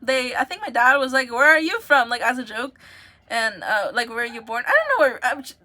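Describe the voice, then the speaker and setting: Giggly high-voiced young woman streamer. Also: big pitch swings, a little fast, pushed and bright. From United States. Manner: giggly, rambling, chatty. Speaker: livestreamer. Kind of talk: casual chat with viewers.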